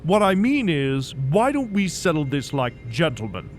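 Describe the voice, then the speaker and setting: An actor playing a smug, menacing mad-scientist doctor in an audio drama, speaking in a formal, condescending, theatrical way. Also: male voice, fairly high pitch, very animated, a little slow, faint music behind. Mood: smug, coaxing.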